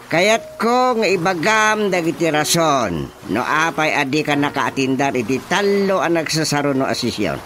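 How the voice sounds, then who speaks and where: A man acting a stern, mocking village chairman in an Ilocano radio drama comedy, interrogating deliberately with an Ilocano accent. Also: fairly high pitch, animated, fairly bright tone, slight background noise. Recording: music behind the voice.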